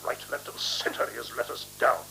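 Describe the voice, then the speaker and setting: A man's voice: moderate pace, sharp tone, in a whisper, close to an everyday voice, rough recording. A male actor in a radio drama playing a menacing, sardonic leader who speaks in clipped, commanding, mock-military formality. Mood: scornful. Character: menacing, sardonic leader interrogating and condemning a traitor. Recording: 1970s radio recording with faint hum.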